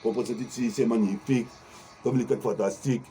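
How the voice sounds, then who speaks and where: A man's voice: medium pitch, steady tone, brisk, a little hiss or hum. A French man in his forties improvising a freestyle rap in a rhythmic, rhyming flow, half-singing some lines. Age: 40s. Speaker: freestyle rapper. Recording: rap over loud backing music.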